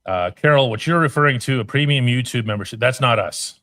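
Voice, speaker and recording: A man's voice: mid-range voice, fairly quick, a bit bright, no echo. A man hosting a live sports podcast, reading viewer comments in a firm, emphatic way. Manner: firm, emphatic, repeats himself for stress.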